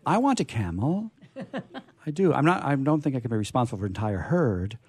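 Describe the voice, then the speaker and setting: A man's voice: mid-range voice, very animated, fairly quick, a little mellow, slightly rough, dry recording. A man hosting a radio show off the cuff, effusive, jokey and rambling. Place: radio studio.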